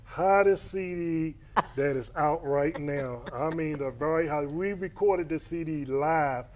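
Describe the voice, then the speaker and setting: A man's voice: fairly high pitch, unhurried, a little mellow, slight background noise. A middle-aged man talking casually as an interview guest, joking and repeating himself. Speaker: guest, music promoter and studio owner.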